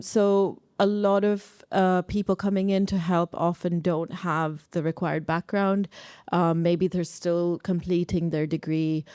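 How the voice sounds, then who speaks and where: A woman with a warm, dark tone and slightly low pitch answers on a panel. The sound is dry and close. Her phrasing is tentative and measured. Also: clear, resonant tone, no echo. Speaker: panellist, researcher.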